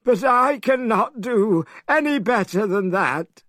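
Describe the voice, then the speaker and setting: A man's voice: high-pitched, animated, slow, fairly bright tone, no room sound, studio quality, pitched above the performer's own voice, exaggerated voice. A man voicing a foolish, cowardly pig creature who whines and complains, in a storybook reading. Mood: whiny. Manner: whiny, complaining.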